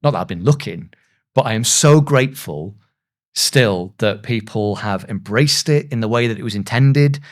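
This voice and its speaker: A man speaking reflectively as an interview guest, earnest and self-deprecating, with halting pauses as he gathers his thoughts. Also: mid-range voice. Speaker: voice actor, podcast guest.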